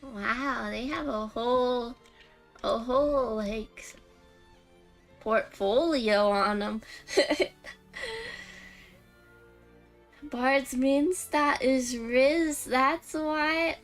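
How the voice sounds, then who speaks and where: Female game streamer, casual and jokey, musing out loud at a slow pace, light music in the background. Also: high voice. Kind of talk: casual live game commentary.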